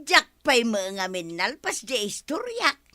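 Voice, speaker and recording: An excitable Ilocano village gossip in a radio comedy. Her high, bright voice swings widely as she teases. The accent is Ilocano and the delivery drawn-out. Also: no echo, studio quality, full character voice. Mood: insistent.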